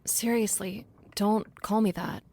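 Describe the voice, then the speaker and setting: A woman's voice: mid-range voice, fairly even intonation, slow pace, mellow. A woman playing a groggy, annoyed woman who has just woken up, in an audio drama. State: sleepy.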